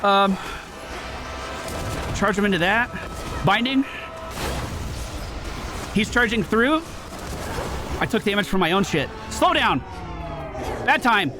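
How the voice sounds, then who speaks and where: A man doing live gaming commentary, excitable and exclamatory, swearing and rapidly repeating words. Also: high-pitched, over music. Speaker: video game streamer.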